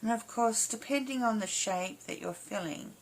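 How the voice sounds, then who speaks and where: A woman with a slightly hoarse, croaky voice giving a casual step-by-step craft tutorial in short, informal phrases as an instructor. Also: on the high side, moderate pace, rough recording. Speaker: embroidery instructor.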